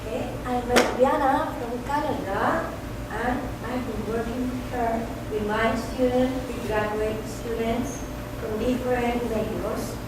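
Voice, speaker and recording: Slow-speaking woman from Chile. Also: on the high side, fairly even intonation. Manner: slow, tags sentences with "okay?". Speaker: university teacher. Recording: echoey room from far off with noise.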